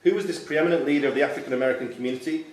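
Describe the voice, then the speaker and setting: A man reads quiz questions at a fast clip, with a mid-pitched voice. He sounds distant, in a very reverberant room at a live contest. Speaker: quiz question reader and host. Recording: very echoey hall, distant mic.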